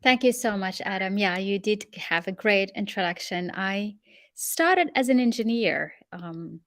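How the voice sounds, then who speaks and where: A middle-aged woman from Morocco, a guest expert answering interview questions in a measured, explanatory way. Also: mid-range voice, animated, fairly bright tone, no room sound, studio quality.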